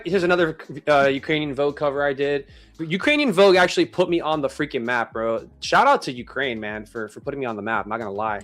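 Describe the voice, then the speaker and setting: A man's voice: mid-range voice, a bit bright. A man of thirty-nine chatting casually as a live stream host, with informal, slangy bro-talk. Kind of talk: casual chat.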